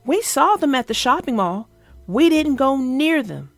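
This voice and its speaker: A woman voicing an earnest young girl who tells about her dog in a simple, expressive storybook read-aloud. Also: high voice, unhurried, dry recording, faint music behind, heavily acted.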